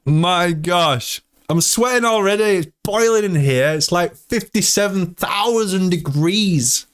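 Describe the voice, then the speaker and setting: A man's voice: high voice, slow pace, fairly bright tone, clean tone. Laughing, jokey man in his thirties. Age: 30s. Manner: jokey, laughs often, chatty. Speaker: live stream host. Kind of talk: casual live-stream chat. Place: hot room indoors.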